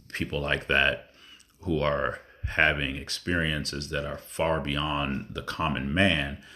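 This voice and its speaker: A man with an extremely deep voice that carries a forceful, pressing edge. He talks through a spontaneous spiritual monologue, earnest and reflective, letting long pauses hang between phrases. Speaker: spiritual vlogger.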